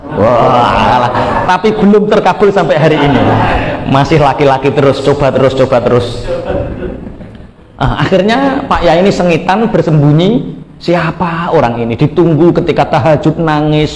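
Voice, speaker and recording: Echoey Javanese-accented male preacher, higher voice. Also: normal speed. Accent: Javanese Indonesian. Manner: folksy, animated storytelling mixing Indonesian with Javanese. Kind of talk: storytelling sermon.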